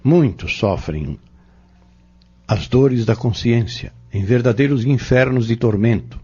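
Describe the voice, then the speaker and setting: An actor playing a patient spiritist mentor in an audio drama, with a Brazilian accent and a formal, measured, preacherly delivery. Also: male voice, medium pitch, a little mellow, breathy edge. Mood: solemn, explanatory. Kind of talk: audio drama acting, didactic monologue. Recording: dull lo-fi audio.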